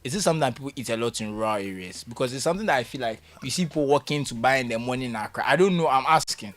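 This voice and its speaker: A man's voice: mid-range voice, lively intonation, racing, fairly bright tone. A man co-hosting a studio chat who asks curious questions. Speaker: show co-host.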